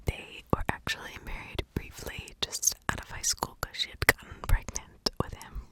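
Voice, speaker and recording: A woman hosting an ASMR video, telling a story in a breathy, unvoiced whisper close to the microphone, lingering on and repeating words. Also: moderate pace. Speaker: ASMR video host, true crime narrator.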